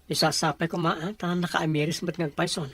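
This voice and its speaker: A man's voice: on the high side, level tone, fairly quick, a bit bright, dry recording. A man acting an earnest, respectful young man who is in love, in a radio drama. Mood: respectful, hopeful.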